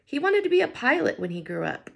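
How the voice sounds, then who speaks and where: A woman reading a picture book aloud to children in an expressive way, pausing to think out loud in wondering asides. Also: sits high, gravelly. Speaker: read-aloud teacher.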